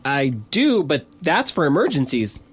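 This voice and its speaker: An actor in a kids' audio drama playing Jake, an anxious, earnest camper who gets defensive, with a husky voice. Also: medium pitch, big pitch swings, unhurried, slight background noise. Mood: worried, defensive. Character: anxious camper Jake, obsessed with trail mix.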